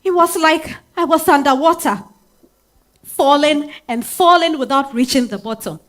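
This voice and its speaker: A woman's voice: high voice, normal speed. A woman with a Ghanaian accent playing a bitter, wounded wife in her thirties in a theatrical stage reading. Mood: stunned. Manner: theatrical, swinging from hushed to outcry. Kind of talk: dramatic stage monologue reading. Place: live stage at a literary festival.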